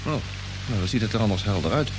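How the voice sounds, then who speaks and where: An actor playing an eager, excitable radio operator on a Mars expedition in a vintage radio drama. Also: male voice, fairly low pitch, fast, over music, natural voice, poor audio. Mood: chatty.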